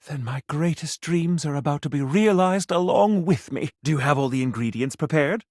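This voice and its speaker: An actor playing a pompous, flirty food critic in a video game, with formal, theatrical phrasing and French flourishes. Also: male voice, fairly high pitch, no room sound, studio quality. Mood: eager, indulgent. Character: pompous, flamboyant male food critic, flirty gourmand dandy. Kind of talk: video game character acting.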